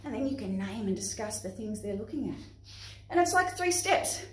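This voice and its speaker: A woman with an Australian accent presenting to young children and parents in an expressive, instructive way. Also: medium pitch, moderate pace. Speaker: playgroup facilitator and presenter. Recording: echoey room, distant microphone.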